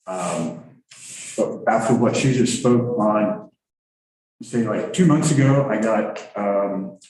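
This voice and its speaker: A man with a low voice makes a public comment at a board meeting, hesitant and rambling, with many ums. He is heard from far off. The room echoes heavily. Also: slow pace, darkish tone, soft background music. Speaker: resident giving public comment. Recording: very echoey hall, distant mic.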